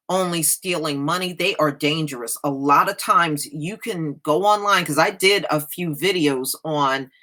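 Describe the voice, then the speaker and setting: A woman's voice: lowish voice, sharp tone. An American woman hosting, reading an article aloud and adding plain-spoken casual commentary.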